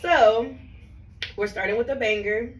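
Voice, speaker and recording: A hoarse woman co-hosting a film podcast, chatting casually in slang and often restarting her sentences. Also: a little high, a little slow. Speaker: podcast co-host. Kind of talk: casual chat. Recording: roomy, a bit distant, faint hiss.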